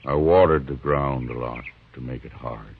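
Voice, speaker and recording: An actor playing a gaunt, grieving homesteader who speaks quietly and flatly, resigned to his fate. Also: a bass voice, normal speed, breathy edge, exaggerated voice. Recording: tinny dry old radio recording.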